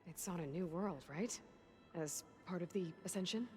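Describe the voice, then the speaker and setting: A woman's voice: on the low side, moderate pace, a little mellow, soft background music, lightly acted. A woman playing a determined, pragmatic young heroine in a video game, with a direct, dry way of talking. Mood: urgent, persuasive. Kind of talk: video game cutscene acting.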